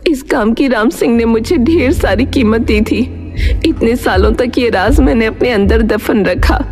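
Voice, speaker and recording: A woman's voice: fairly high pitch, unhurried, a little mellow. A woman voicing an elderly, guilt-ridden nurse in an earnest, confessional story drama, with music behind. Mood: remorseful.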